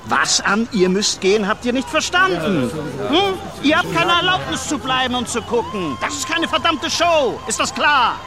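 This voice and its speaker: An actor playing an officious, testy fast-food branch manager in a radio drama, curt and bossy, with noise behind him. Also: male voice, very high-pitched, sing-song, crowd noise. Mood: stern, exasperated. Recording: clear background noise, outdoor ambience.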